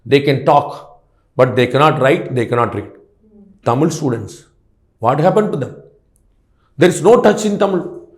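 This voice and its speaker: A man's voice: mid-range voice, lively intonation, normal speed, pauses often, roomy sound. A man, arguing his points in an interview, full of rhetorical questions, fillers and restarts. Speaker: political guest commentator. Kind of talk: interview answers, argumentative.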